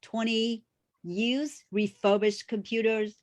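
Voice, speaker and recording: A woman co-hosting a casual video call chat, speaking with halting phrasing and many restarts. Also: a little high, fairly even intonation. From refugee background. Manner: warm, halting, frequent restarts and fillers. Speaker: co-host.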